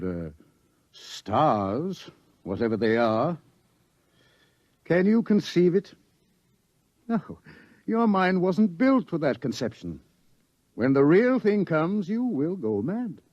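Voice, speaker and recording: An actor playing a grimly certain doctor of science in a radio drama, lecturing with emphatic, ominous pauses. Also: male voice, fairly high pitch, a little mellow, dry recording, exaggerated voice. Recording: dull, dry vintage radio sound.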